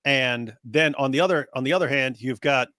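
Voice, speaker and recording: A man's voice: medium pitch, fairly quick, bright, forceful tone, no echo, studio quality. A man co-hosting a casual online commentary, speaking emphatically and building his points in long lists. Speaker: co-host.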